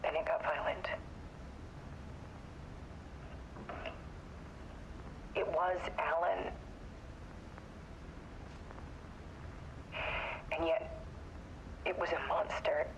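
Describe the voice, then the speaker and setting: Gravelly, haunted woman. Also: low-pitched, steady tone. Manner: halting, subdued, somber. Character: haunted woman photographer recounting her troubled relationship, weary and bitter. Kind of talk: confessional dramatic game monologue. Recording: dull lo-fi sound with clear noise.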